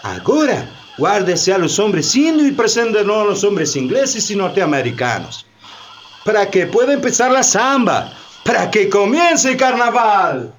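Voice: medium pitch, very animated, measured pace, a little hiss or hum. An actor playing a jolly, teasing carnival barker in a radio play, with a showy sing-song delivery and tinged Spanish. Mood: mock scolding. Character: jolly, teasing Brazilian carnival barker inviting people along. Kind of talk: theatrical radio drama acting.

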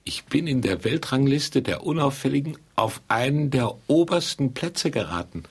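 An actor playing a resigned, bemused nobody in a radio drama, musing vaguely in a rough, husky voice. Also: male voice, mid-range voice, animated, measured pace. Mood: wry, resigned. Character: vague, unremarkable lonely everyman, resigned and bemused. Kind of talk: theatrical radio drama dialogue.

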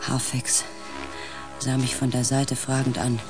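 Actor as anxious, hushed sci-fi explorer man. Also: medium pitch, monotone, measured pace, music in the background, natural voice. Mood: tense, grave. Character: shaken male space expedition member, anxious, recalling a mission. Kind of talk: radio play acting with first-person narration.

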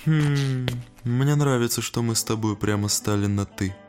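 An actor playing a genteel, down-at-heel devil in an audio drama, talking in an urbane, familiar, teasing way. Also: male voice, medium pitch, moderate pace, a little mellow, faint music behind, lightly acted. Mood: amused, needling. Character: genteel, shabby male devil, chatty and ingratiating. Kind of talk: dramatic audio play acting.

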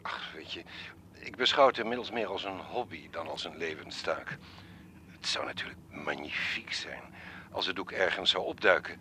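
An actor playing an elderly, courteous, wistful art collector in a radio drama, speaking in a formal, measured, reflective way. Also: male voice, deep voice, pushed and bright, a little hiss or hum. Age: 70s or older.

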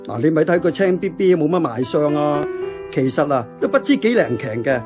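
A man's voice: on the high side, sing-song, moderate pace. A man playing a nostalgic, stubborn older uncle who runs a café in a radio drama, lecturing in homely talk with a Hong Kong accent. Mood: nostalgic, preachy. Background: plucked guitar music.

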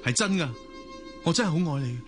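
A man's voice: fairly high pitch, expressive, quick pace, close to an everyday voice. An actor playing an earnest, insistent young man in love in a radio drama, with a Hong Kong Cantonese accent. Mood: impassioned, insistent. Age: young adult. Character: ardent young man, insistent lovestruck suitor. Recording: music under the voice.

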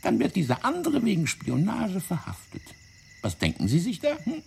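An actor in a German radio play playing a fat, wily, self-righteous French intelligence officer, explaining things in a theatrical, condescending way. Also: male voice, sits high, huge pitch swings, normal speed, vocal fry, a little mellow, slight background noise, heavily acted. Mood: calculating, insistent. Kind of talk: German radio drama acting.

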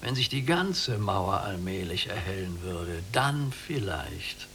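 An old prisoner in a radio drama, his voice full of gravelly creak. He speaks in a measured, severe, declamatory way, weary and resigned. A faint noisy hum sits behind him. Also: low-pitched, very animated, a bit bright, full character voice. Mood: resigned, stern. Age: older. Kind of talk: literary radio play acting.

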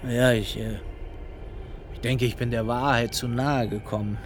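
A man's voice: medium pitch, steady tone, unhurried, background noise, close to an everyday voice. A man playing an agitated, boastful film director in a radio play, stammering as he rants with derision. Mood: scornful, bitter. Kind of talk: dramatic radio play dialogue.

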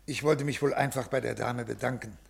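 An evasive, guilt-ridden civil servant in a 1950s radio play. His voice is husky with a gravelly creak, and he speaks in formal, halting phrases, like someone unwell. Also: medium pitch, fairly even intonation. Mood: weak, evasive. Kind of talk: 1950s German radio drama acting.

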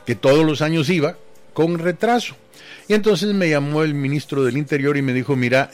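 A man, a radio DJ guest telling rambling stories about his life and acting out the people in them. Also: medium pitch. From Guatemala. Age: 60s. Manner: rambling anecdotal storyteller, voices quoted people. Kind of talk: casual radio interview storytelling.

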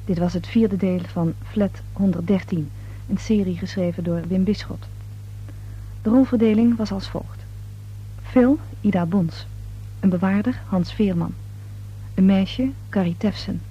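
A woman's very husky, breathy voice with a dark, soft tone reads formal radio credits. The old recording sounds dull and muffled. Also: medium pitch, unhurried, long pauses. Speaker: announcer reading closing credits. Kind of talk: formal scripted radio announcement.

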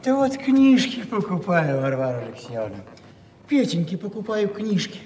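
An actor playing a timid, rambling old father in a theatrical audio play, halting and repeating himself. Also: sits high, very animated, darkish tone. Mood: flustered, eager. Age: older. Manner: hesitant, repetitive, flustered and halting. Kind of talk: theatrical stage acting of a Russian classic. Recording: echoey hall, distant mic, faint noise.

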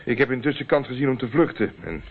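An actor playing a dogged, self-assured private investigator in a radio drama, matter-of-fact and clipped, on a narrow old radio recording. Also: male voice, medium pitch, expressive, quick pace, breathy edge.

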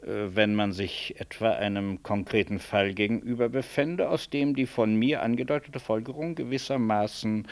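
An actor playing a stiffly polite, scheming hospital colleague in a 1960s German radio drama, hesitant and roundabout in phrasing. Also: male voice, fairly low pitch, normal speed, few pauses, darkish tone, natural voice. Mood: insinuating.

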